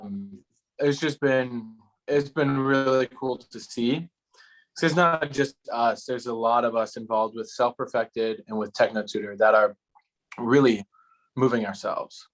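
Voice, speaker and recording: An upbeat man hosts a relaxed conversation, friendly and easygoing as he asks his guest questions. His voice sits at an ordinary middle pitch. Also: unhurried, poor audio. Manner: upbeat, friendly interviewer with fillers like "right on". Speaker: host. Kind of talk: casual interview chat.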